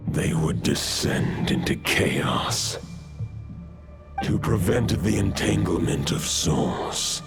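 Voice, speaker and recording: An actor playing an ancient, solemn wolf spirit lord in a game, gravelly and deep, grave and formal. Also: male voice, expressive, takes it slowly, over loud music, exaggerated voice. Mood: commanding. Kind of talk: scripted game dialogue.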